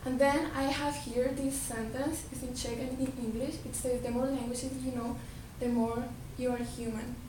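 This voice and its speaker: A woman with a Colombian Spanish accent gives an earnest talk, often restarting her words. Her voice sits high. It is picked up from a distance in an echoey hall, with steady noise and a low rumble behind her. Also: moderate pace, hardly any pauses. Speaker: speaker giving a talk. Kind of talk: presentation to an audience.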